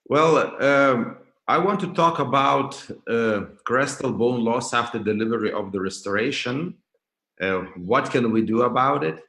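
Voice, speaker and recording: A man from Lithuania with a Lithuanian accent, lecturing as a professor at an online conference in a halting way, repeating words and restarting phrases. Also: medium pitch, a bit bright. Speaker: dental professor and clinician giving a lecture. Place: indoors, remote video call. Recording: roomy, echoing video call sound.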